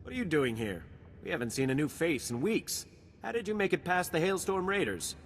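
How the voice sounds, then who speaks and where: A man's voice: a little high, lively intonation, fairly quick. A man playing a serious, beleaguered outpost soldier in a science fiction video game. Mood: worried, urgent. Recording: reverberant hall, noisy game ambience.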